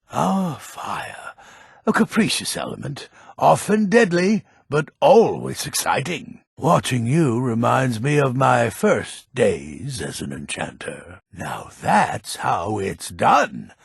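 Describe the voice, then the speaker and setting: Casting: grumpy old fantasy-game schemer. Heavy rasp and gravel, emphatic theatrical grumble. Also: male voice, mid-range voice, very animated, slow, no echo, clean studio sound, heavily acted. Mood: instructive, approving. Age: older. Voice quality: gravelly, husky, raspy. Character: grumpy old man, crafty merchant and schemer. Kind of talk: fantasy video game character acting.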